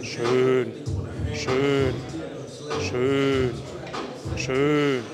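A man's voice: medium pitch, flat delivery, drawn-out words. A man in his thirties hosting with a handheld mic in a busy indoor gym, giving playful, teasing commentary. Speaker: vlog host with handheld mic. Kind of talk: casual joking gym commentary. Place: large indoor gym with crowd. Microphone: handheld microphone. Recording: loud music over gym recording.

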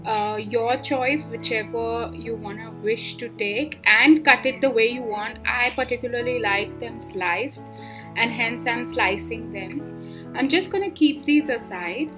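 A young woman with a fairly high voice walks through home meal prep step by step, calm and plain. She talks as a voice-over, and clear background music plays under her. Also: a little slow. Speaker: cooking vlogger. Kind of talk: instructional cooking voice-over. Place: home kitchen, indoors.

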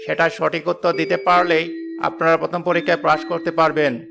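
A man plays a formal royal court announcer in a cartoon fairy tale. He speaks in a flat, gravelly voice, a little high. Music is clearly heard behind him. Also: a little fast.

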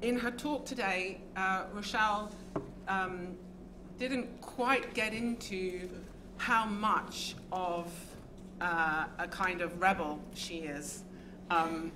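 Hesitant woman giving panel remarks. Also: mid-range voice. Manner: hesitant, many ums, warm thanks. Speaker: discussant. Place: lecture theatre stage. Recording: lecture hall audio with background noise.

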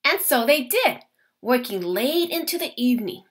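A woman teacher reading a children's picture book aloud to her class. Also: high voice. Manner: slow, expressive storytelling.

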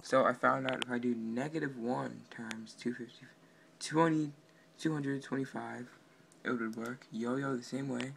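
A man giving a casual, halting, offhand walkthrough of his project, recorded indoors on a handheld camera. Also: medium pitch, steady tone, creaky, a bit bright. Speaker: amateur game developer. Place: indoors at a computer. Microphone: handheld camera pointed at monitor. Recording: noisy amateur room recording.

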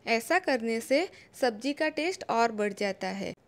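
Calm woman teaching a home recipe. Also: fairly high pitch, unhurried. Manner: calm, instructive, homely guidance. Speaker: home cooking channel host. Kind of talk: step-by-step recipe voice-over.

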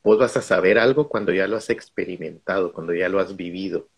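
Guatemalan guy on a video call, kinda husky, lowish voice, tells stories slow and thoughtful. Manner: reflective, storytelling. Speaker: guest speaker.

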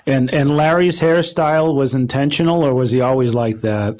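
Guy asking interview questions, casual, medium pitch. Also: moderate pace, a little mellow.

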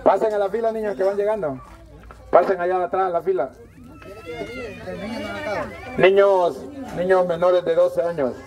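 A man's voice: sits high, steady tone, normal speed, long pauses, muffled recording. A man with a Salvadoran accent hosting a toy handout, giving informal, repetitive instructions to people. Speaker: host handing out toys. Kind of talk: informal announcing to a crowd. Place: outdoors on a village dirt road. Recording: outdoor crowd noise all around. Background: crowd of children and adults.

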